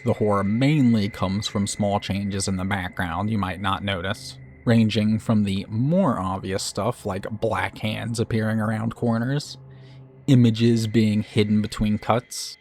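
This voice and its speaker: A man narrating a video review in a conversational scripted style, with informal, chatty asides as host. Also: on the low side. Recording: narration over background music. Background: background music.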